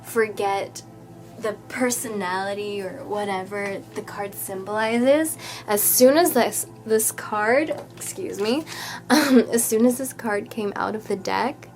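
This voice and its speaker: A woman's voice: medium pitch, takes it slowly, darkish tone. Nasal-sounding woman reading tarot, chatty. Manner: chatty, reassuring, many fillers and "okay?". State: nasal from a cold. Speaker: tarot reader. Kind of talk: casual tarot reading to camera.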